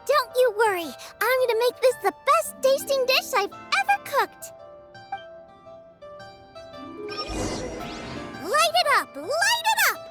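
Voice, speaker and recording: A woman's voice: extremely high pitch, big pitch swings, moderate pace, over music. A woman voicing a spirited, eager teenage girl chef in a video game, bubbly and often thinking aloud to herself. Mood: excited, determined. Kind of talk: animated game character acting.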